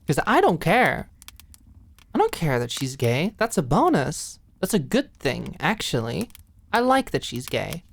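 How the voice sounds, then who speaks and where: A woman acting a feisty, protective popular young woman at camp in an ASMR roleplay, talking in a casual, halting, emphatic way. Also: deep voice, huge pitch swings, gravelly, heavily acted. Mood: defiant.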